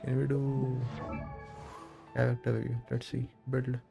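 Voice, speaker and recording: A man's voice: mid-range voice, monotone, a little slow, vocal fry, music in the background. A man livestreaming a video game, giving casual commentary in short, muttered reactions and readouts.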